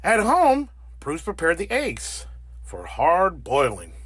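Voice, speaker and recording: A man narrating a children's picture book as an animated read-aloud. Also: on the high side, slow pace, slightly rough, no echo. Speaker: storybook narrator.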